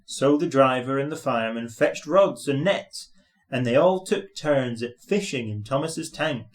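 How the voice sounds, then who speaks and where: A man reads a children's storybook aloud as narrator. His delivery is slow, with a medium-pitched voice. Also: lively intonation.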